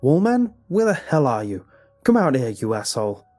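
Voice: mid-range voice, normal speed, warm tone, breathy edge, no room sound, soft background music. Man acting a rattled, exasperated father. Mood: angry, rattled. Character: rattled father, angry and fed up with hauntings.

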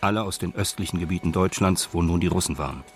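An actor as the detached narrator of a radio crime drama, telling it in a measured, matter-of-fact way. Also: male voice, sits low. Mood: detached narration. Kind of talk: scripted crime story narration.

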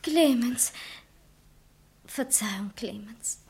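An actress playing an overwrought, impulsive young wife in a 1960s German radio play, with an urgent, emotional delivery. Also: female voice, fairly high pitch, takes it slowly, a little mellow, a little hiss or hum. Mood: pleading, distraught.

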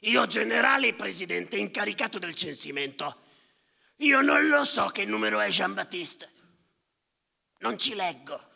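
An actor playing a bitter, sardonic general who tallies the dead, declaiming in a rasping theatrical monologue with repeated phrases. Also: male voice, high voice, animated, measured pace, bright, forceful tone, full character voice. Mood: frantic, exasperated. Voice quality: gravelly, rasping. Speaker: stage actor performing a monologue. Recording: reverberant theatre hall.

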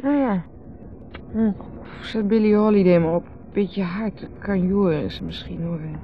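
An actress with a Netherlands Dutch accent playing a dreamy, teasing wife who rambles and repeats herself in a radio drama. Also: female voice, medium pitch, unhurried, a little hiss or hum, lightly acted. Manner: rambling, repetitive, musing. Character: dreamy, rambling, teasing wife, drinking under a full moon. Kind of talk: 1970s radio drama acting. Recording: dull old radio recording with music.

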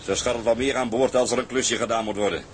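Direct tugboat skipper, played by a man, mid-pitched. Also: steady tone, fast talker, slight background noise, close to an everyday voice. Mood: courteous. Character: hearty male tugboat skipper selling his beloved ship. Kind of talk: Dutch audio drama dialogue.

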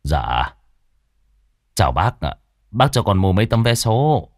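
A man's voice: fairly low pitch, sing-song, measured pace, darkish tone, no room sound, studio quality, heavily acted. A man voicing a puzzled, polite man who stammers when caught off guard.

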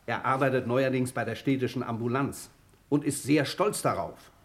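A man's voice: mid-range voice, moderate pace, slight background noise, close to an everyday voice. Plain-spoken, obliging auto mechanic played by a man. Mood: modest, chatty. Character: honest hard-working male auto mechanic, modest and obliging. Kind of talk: German radio crime drama acting.

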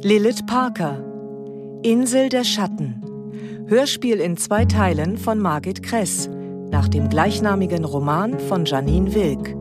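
A woman's voice: mid-range voice, lively intonation, takes it slowly. A woman announcing the title and credits of a radio play in a scripted style. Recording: loud guitar music under the voice.

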